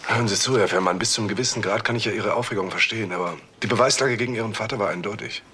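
A composed, sceptical detective in a crime radio play. His voice is on the low side and brisk, over clear background noise. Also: pushed and bright. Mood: calm, firm. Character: composed, sceptical male police investigator. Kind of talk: naturalistic German radio crime drama.

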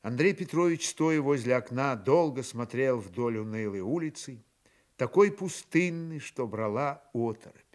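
A man narrating a literary radio drama with expressive, theatrical phrasing. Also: mid-range voice, unhurried.

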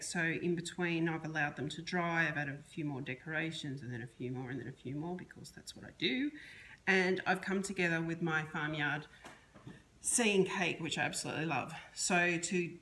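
Middle-aged woman, lowish voice, chatty cake decorating how-to in her kitchen, camera on the counter. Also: moderate pace, a bit bright, slight background noise. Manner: chatty, explains step by step with restarts. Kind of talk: casual how-to demonstration. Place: home kitchen, indoors.

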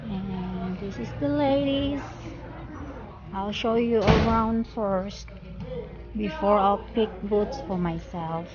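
A woman's voice: medium pitch, slow, lo-fi sound. A woman chatting casually as she vlogs from a car and then shows viewers around a store. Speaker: vlogger. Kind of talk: casual travel vlog chat. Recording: loud music over the voice.

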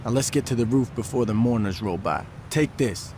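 An actor playing a level-headed young street gang strategist in a video game, streetwise, decisive and profane. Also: male voice, mid-range voice, fairly quick, a little mellow, a little hiss or hum, natural voice. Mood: focused. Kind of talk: scripted video game acting.

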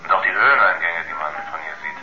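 An actor playing an uneasy, earnest astronaut with a hesitant, colloquial way of talking, in a 1970s German radio play. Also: male voice, low-pitched, fast talker, over music, close to an everyday voice. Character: uneasy male astronaut, earnest crewmate on the moon. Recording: muffled 1970s radio recording.

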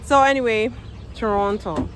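A woman's voice: a little high, moderate pace, background noise. A woman in her thirties from the Philippines with a Filipino accent, talking casually to a phone camera outside on a snowy driveway. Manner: chatty, explaining, loose grammar. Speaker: vlogger. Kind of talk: casual vlog talk to camera. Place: outdoors on a snowy suburban driveway. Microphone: phone held at arm's length.

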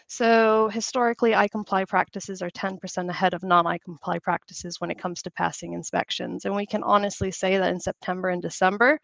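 A woman, slightly hoarse from a cold, presenting a webinar over a video call in a chatty, upbeat way. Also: medium pitch, dry recording. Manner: chatty, upbeat, frequent "you know". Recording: dry, slightly dull video call audio.

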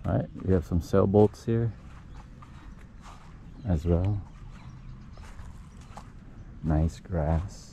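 A young man with a low, deep voice narrates unhurriedly as he strolls along a quiet bayside park, thinking aloud with easy "oh"s and "all right"s. It is caught on an action camera outdoors, with birds and open-air ambience clearly audible behind him. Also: very slow, long pauses. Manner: relaxed, musing aloud, frequent "oh" and "all right". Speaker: vlogger. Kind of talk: casual walking vlog narration. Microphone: action camera held or mounted while walking. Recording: outdoor camera audio with clear nature noise.

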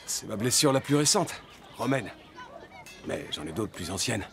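An actor playing a battle-scarred, defiant young Gaulish warrior and son, blunt and intense in an animated historical drama. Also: male voice, fairly low pitch, moderate pace, gravelly. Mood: guarded, intimate. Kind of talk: animated historical docudrama acting. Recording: noisy ambience behind.

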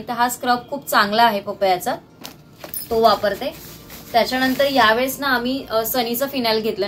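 A woman speaks in a fairly high voice. She talks casually to her viewers, going through things one by one in an explanatory way. Faint music plays behind her as she records in a room at home. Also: fairly bright tone. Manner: explanatory, itemising. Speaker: vlogger. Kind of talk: casual product haul chat to viewers. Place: small indoor room at home, seated on the floor.

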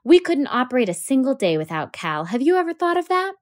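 A woman's voice: high-pitched, big pitch swings, a little fast, dry recording, studio quality, voice pushed up. Father role, woman reader: flinty, firm, lecturing. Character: dry firm father, lawyer.

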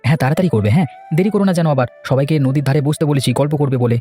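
A man's voice: fairly high pitch, animated, rapid-fire, dark tone, faint music behind, lightly acted. A man voicing a supportive, plain-spoken village husband in a cartoon, chatty and encouraging. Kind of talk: cartoon dubbing.

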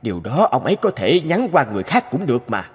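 Harried male scientist, lively intonation. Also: medium pitch, fast talker, husky. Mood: exasperated. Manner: harried, grumbling. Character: busy, grumbling male research scientist, adult, absorbed in work. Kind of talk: cartoon voice acting.

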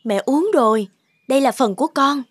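A woman voicing a gentle, selfless young mother in a cartoon, tender and reassuring. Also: sits high, lively intonation, moderate pace, husky, no room sound. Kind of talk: cartoon dub acting. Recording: very loud music behind the voice.

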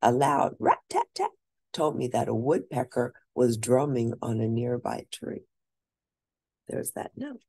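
A woman reading a children's book aloud as an expressive storyteller, stressing and stretching words for drama. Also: very deep voice, husky. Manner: animated, dramatic emphasis with drawn-out words.

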